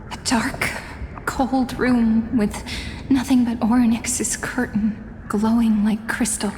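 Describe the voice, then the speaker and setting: An actress voicing a sorrowful young heroine in a game cutscene, soft and reflective. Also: female voice, on the high side, big-room sound, slight background noise. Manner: soft, mournful, reflective delivery with sighs.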